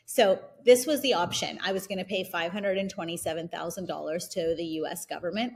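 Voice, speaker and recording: Energetic woman guest, lowish voice. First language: Italian. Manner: energetic, fast storytelling with many "like" fillers. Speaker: interview guest, real estate investor. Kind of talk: casual interview conversation.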